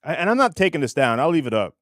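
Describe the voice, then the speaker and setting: Animated, self-deprecating man chatting on a livestream. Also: on the high side, racing, no room sound, clean studio sound. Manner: rambling, self-deprecating, breaks into laughter. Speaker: livestream host. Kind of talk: casual chat reading viewer comments.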